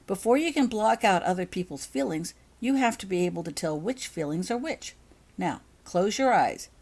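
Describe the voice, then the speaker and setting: School matron healer, read by a woman, patient, firm, encouraging, storybook delivery with animated intonation. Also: mid-range voice, normal speed, slightly rough. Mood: patient, instructive.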